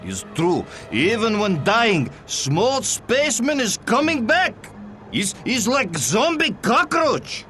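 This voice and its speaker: A comically earnest Soviet courier with a thick Russian accent and mangled grammar. His voice sits high and bounces around in pitch, at an unhurried pace. It is broad video game character acting. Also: big pitch swings, bright, forceful tone, a little hiss or hum, exaggerated voice. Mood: earnest, indignant. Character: cheerful, gullible male Soviet KGB courier, broken English.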